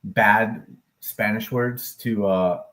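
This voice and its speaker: A man hosting a casual live stream about crypto and stock charts, talking in an upbeat, rambling way with many fillers and self-corrections, and now and then whooping loudly. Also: fairly low pitch, fairly even intonation, extremely slow. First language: English. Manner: occasional loud whooping cheers.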